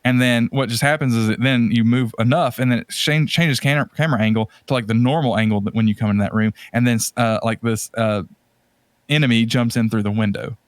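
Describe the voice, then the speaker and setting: A man's voice: medium pitch, fairly even intonation, a little fast, no echo, clean studio sound. A man chatting casually as a podcast host, rambling and enthusiastic about his subject. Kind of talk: casual chat about retro games and movies.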